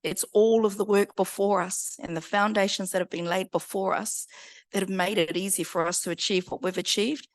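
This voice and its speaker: A woman's voice: medium pitch, fairly even intonation, moderate pace, dry recording. A woman, a school board co-chair, confidently summing up in a lecture Q&A. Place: parish hall.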